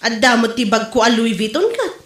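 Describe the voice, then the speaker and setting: High, animated Ilocano gossip played by a woman. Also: a little fast. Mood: gossipy, indignant. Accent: Ilocano Filipino. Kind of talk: Ilocano comedy drama acting. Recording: roomy, echoey room sound.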